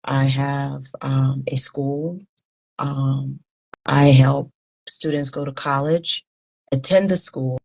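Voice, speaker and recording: Very deep, gravelly woman in her fifties. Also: level tone, takes it slowly, a little mellow. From Liberia. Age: 50s. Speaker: interview guest, humanitarian founder. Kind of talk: conversational interview answers.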